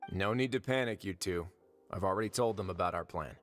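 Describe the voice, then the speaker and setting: An actor playing a composed, wry young duke in a video game, speaking in a deliberate, measured storytelling manner. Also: male voice, deep voice, soft background music, natural voice. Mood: wry, assured. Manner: deliberate, dryly wry, unhurried. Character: composed, wry young duke who runs an underwater prison fortress.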